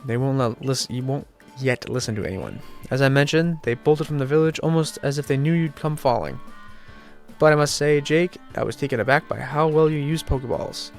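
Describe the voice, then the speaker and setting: A man's voice: medium pitch, a little fast, soft background music, lightly acted. A courteous, scholarly professor from a video game, read aloud by a man. The voice is nasal and congested from illness, and the delivery is earnest and formal. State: sick, congested. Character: polite, scholarly male professor, formal and eager.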